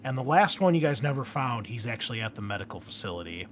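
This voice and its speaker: A man's voice: medium pitch, very animated, fast talker. A man working as a game master, casually explaining a tabletop game's story in a hesitant way as he pieces details together from memory.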